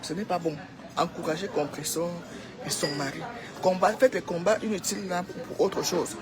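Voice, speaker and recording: A Cameroonian woman speaks informally to her followers with strong opinions. She urges and exhorts, hammering phrases by repeating them. Music plays clearly behind her voice throughout. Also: on the low side, moderate pace. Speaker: social media commentator.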